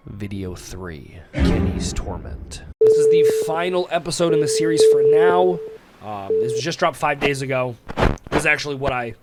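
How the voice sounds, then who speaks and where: A man's voice: on the high side, expressive, moderate pace, heavy background noise. A man hosting a reaction video, talking conversationally and thinking aloud as he watches. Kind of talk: casual reaction commentary. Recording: music playing behind the voice.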